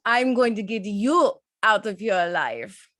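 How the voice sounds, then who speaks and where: A woman playing a gentle, sincere young immigrant rat-catcher in an amateur table read, with a wistful delivery. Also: mid-range voice, expressive, measured pace, slight background noise. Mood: tender.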